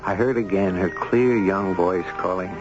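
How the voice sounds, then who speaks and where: A man's voice: sits low, normal speed, over loud music, natural voice. An actor playing a curious, earnest man in an old radio drama, musing and hesitant as he recalls days on the beach with his dog. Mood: wistful narration. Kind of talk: old radio drama acting and first-person narration. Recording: noisy, dull old radio broadcast recording.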